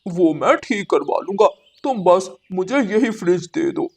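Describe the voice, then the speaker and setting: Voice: mid-range voice, expressive, unhurried, husky. A performer voicing a stingy, bossy male crow, a husband and father, in a moral-story cartoon, with a curt manner. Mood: businesslike.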